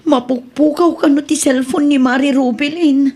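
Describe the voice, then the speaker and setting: A worried Ilocano wife , played by an actress, speaks in a high-pitched voice. She is insistent, pressing with questions in radio comedy drama style. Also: steady tone, normal speed, a little mellow, lightly acted. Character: worried, insistent wife who confronts her evasive friend.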